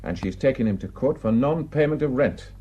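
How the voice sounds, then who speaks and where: A man's voice: medium pitch, expressive, a little fast, warm tone, slightly rough, dull audio. An actor playing a gossipy, plain-spoken village man with a Northern English accent, telling indignant tales in an emphatic, colloquial way in a radio drama. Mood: eager gossip. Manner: garrulous, emphatic, colloquial storytelling.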